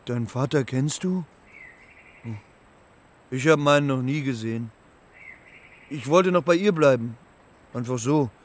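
An actor in a children's radio play voicing a naive, homesick young moose who talks in a simple, halting, literal way. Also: male voice, medium pitch, natural voice. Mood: wistful. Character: young male moose, homesick, naive, earnest talking animal.

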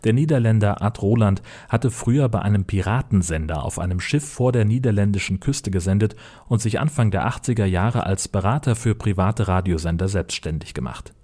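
A man's voice: lowish voice, fairly quick, dark tone. A man narrating a scripted report as a radio reporter.

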